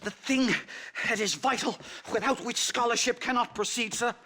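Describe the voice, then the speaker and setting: A man's voice: extremely high pitch, normal speed, bright, forceful tone, full character voice. An actor playing a nervous, fussy male college professor who stammers and speaks primly. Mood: fretful. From Connecticut. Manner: flustered, stammering, prim and polite. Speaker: anthropology professor.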